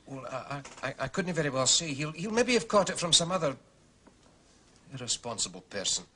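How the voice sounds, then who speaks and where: A cautious Scottish policeman in a period drama. His voice is bright and very animated in pitch, with faint noise behind it. He is courteous and fatherly, yet hedges every answer. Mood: guarded. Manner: hedging, deliberate, officialese. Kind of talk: British television period drama acting.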